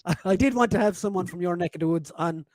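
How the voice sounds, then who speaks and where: A man's voice: high-pitched, fast talker, a little mellow, dry recording, clean studio sound. A man in his forties hosting a casual live-stream podcast, reading chat messages aloud, laughing often and swearing freely. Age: 40s.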